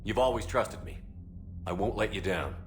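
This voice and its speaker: An actor playing a stoic, determined space-navy commander in a video game, terse and matter-of-fact. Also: male voice, on the low side, moderate pace, music in the background, natural voice. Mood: resolute.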